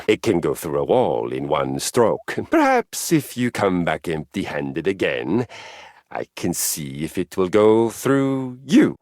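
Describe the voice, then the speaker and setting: A man's voice: mid-range voice, no room sound, clean studio sound. An actor voicing a boisterous, violence-loving male exercise machine with a Viking spirit, in grand, archaic, theatrical speech. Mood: threatening. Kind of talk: game voice lines. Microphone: close studio mic.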